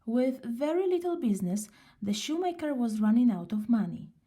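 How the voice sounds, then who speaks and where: Woman, children's storyteller, lively swooping pitch, mellow tone, expressive, unhurried. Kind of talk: children's story read aloud.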